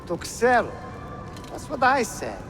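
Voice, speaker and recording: An irritable soldier in a game cutscene. The man's voice is strikingly high and swings a lot in pitch as he grumbles and complains. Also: wildly swooping pitch, measured pace, music in the background, heavily acted. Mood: exasperated. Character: grumbling, irritable male soldier guarding a cistern. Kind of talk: video game cutscene acting.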